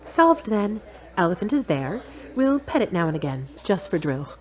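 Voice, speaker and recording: An actress playing a self-assured, frank, teasing girlfriend in a radio drama, articulate and measured. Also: medium pitch, big pitch swings, a little mellow, natural voice. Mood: conciliatory. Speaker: actor in an audio drama. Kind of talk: scripted radio-play dialogue.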